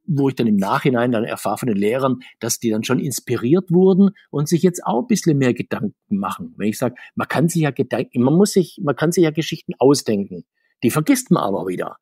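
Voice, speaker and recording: A middle-aged man answering interview questions, rambling and restarting his sentences, with sudden lifts in pitch. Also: expressive, a little fast, darkish tone, breathy edge, no room sound, clean studio sound. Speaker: comics author and guest.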